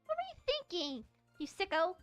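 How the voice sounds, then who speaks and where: A woman's voice: very high voice, huge pitch swings, normal speed, no echo, faint music behind, voice pushed up, heavily acted. A woman voicing a small, hot-tempered, defensive anime schoolgirl for a visual novel, pouty and stammering. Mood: indignant, flustered.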